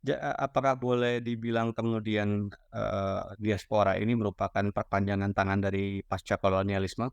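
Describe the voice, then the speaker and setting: A man's voice: fairly low pitch, level tone, moderate pace, vocal fry, smooth, dry recording, muffled recording. A man hosting a casual conversation, chiming in with short joking remarks and follow-up questions. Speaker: host. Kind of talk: casual interview chat.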